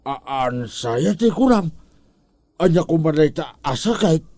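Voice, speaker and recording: A man's voice: fairly high pitch, sing-song, takes it slowly, darkish tone, no echo, heavily acted. A man with an Ilocano accent playing a gullible, curious village drinking buddy in a comedy audio drama, drawing out his puzzled questions.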